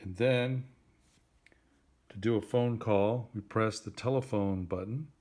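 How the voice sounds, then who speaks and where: A man with a fairly low voice teaches basic steps as an instructor. His delivery is plain and deliberate, phrased as simple spoken step-by-step guidance for a learner. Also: a little mellow. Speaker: instructor helping a phone user.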